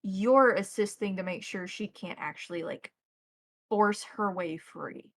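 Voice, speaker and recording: A woman's voice: medium pitch, unhurried, no echo, clean studio sound. A woman narrating a tabletop roleplay and making rules calls as game master.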